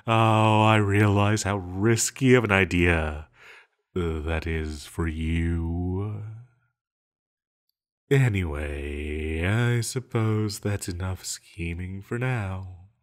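A man playing a banished sorcerer villain, sly, seductive and scheming, speaking in a teasing, theatrical manner to the listener in an audio roleplay. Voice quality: low-pitched. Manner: slow, teasing, theatrical, with lingering pauses.

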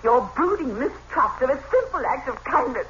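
An actress in an old-time radio drama playing a cool, defensive wife with a terse, cutting manner. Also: female voice, high-pitched, big pitch swings, moderate pace, fairly bright tone. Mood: indignant. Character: cool, defensive wife with clipped, indignant temper. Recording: muffled, noisy vintage radio recording.

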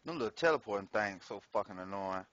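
A man's voice: lowish voice, animated, quick pace, a bit bright, dry recording, muffled recording. A man casually commenting on his gameplay in a slangy, profane way, laughing often. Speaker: gameplay streamer.

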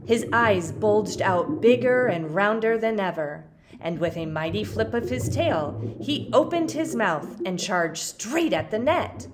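A woman reads a children's picture book aloud at an unhurried pace. Her pitch swings widely, and she leans on key words with dramatic pauses for young listeners. The recording has clear noise behind her. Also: animated. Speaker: storybook reader.

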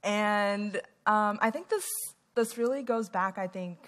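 A woman's voice: mid-range voice, unhurried, fairly bright tone. A young woman from Taiwan giving a conference talk on stage, earnest and full of "um" fillers. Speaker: conference speaker. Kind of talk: stage talk presenting research. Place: large hall on stage. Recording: long hall reverb.

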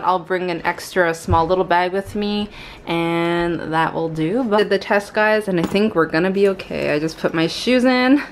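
A cheerful young woman in her twenties chats to her camera from a small bedroom, her voice at an ordinary middle pitch. She rambles in a friendly, upbeat way, with laughter dropping in between thoughts. A faint hiss of room noise sits under the handheld recording. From Montreal, Canada. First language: English. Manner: chatty and upbeat, laughs often. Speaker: lifestyle vlogger. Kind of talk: casual vlog chat to camera. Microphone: handheld camera at arm's length.

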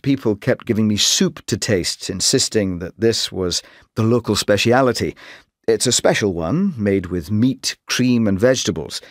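A man's voice: on the low side, no room sound, studio quality. A man with a Scottish accent giving a scripted, friendly talk about his own experience for an exam listening track.

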